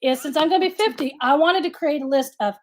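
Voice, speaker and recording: A woman's voice: high-pitched, fast talker, darkish tone. A woman in her late forties co-hosting a casual live chat, talking candidly with plenty of joking. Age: late 40s.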